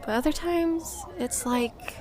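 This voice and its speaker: A woman's voice: sits high, slow pace, crowd noise. A woman playing an earnest, overshadowed young girl in an audio drama, speaking hesitantly and sincerely. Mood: wistful. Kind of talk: heartfelt stage dialogue in audio drama.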